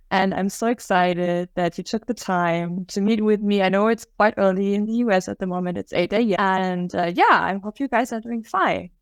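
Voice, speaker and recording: A woman's voice: mid-range voice, dry recording. A woman hosts an interview podcast and greets her guests with an upbeat, welcoming manner. Her voice is close and dry, with no room sound around it. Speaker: podcast host.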